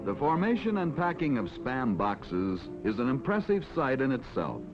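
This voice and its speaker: An American man reading scripted narration for a mid-century educational film in a formal, measured announcer style. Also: mid-range voice, sing-song. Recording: dull vintage film soundtrack with music. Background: background music.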